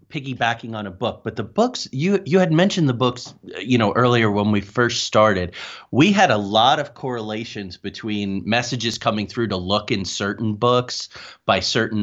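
A man telling stories as an interview guest, halting and digressive as he talks. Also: medium pitch, fairly bright tone, lo-fi sound. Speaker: podcast guest, paranormal investigator. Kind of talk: conversational storytelling in an interview.

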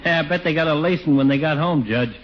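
An actor playing a husky, folksy, chatty small-town barber in a 1940s radio comedy. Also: male voice, a little high, quick pace, slight background noise, natural voice. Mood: curious. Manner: folksy, ungrammatical, casual. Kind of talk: 1940s radio sitcom acting. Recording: dull 1940s radio recording.

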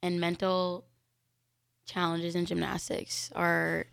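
A woman's voice: fairly low pitch, completely flat delivery, takes it slowly, creaky, a bit bright, smooth. A young woman co-hosting a radio show in chatty, casual talk, using "like" often and laughing easily.

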